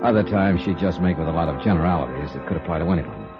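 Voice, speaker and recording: A man's voice: low-pitched, quick pace. An actor playing a wry, skeptical investigator narrating his case in dry 1950s radio noir style. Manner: dry, sardonic. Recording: dull vintage radio recording with music behind.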